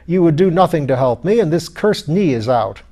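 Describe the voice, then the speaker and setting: A man voicing a pompous, irritable, hard-drinking skeleton lord in an audiobook, rough and blustering in old-fashioned aristocratic speech. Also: on the high side, lively intonation, brisk, dark tone, voice pushed up. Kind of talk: audiobook character voice.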